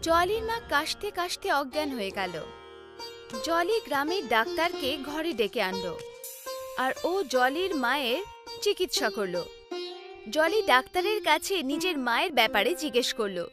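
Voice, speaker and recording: A woman's voice: high voice, sing-song, measured pace, music in the background. A woman narrating a scripted children's story as the storyteller.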